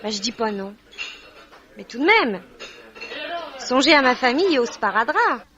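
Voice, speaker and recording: An actress playing a brisk, sassy, hot-tempered young waitress, deadpan and quick-witted, in the style of 1950s French radio. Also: female voice, fairly high pitch. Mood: softened. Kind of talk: 1950s French radio comedy-drama acting. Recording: muffled vintage radio, background restaurant bustle.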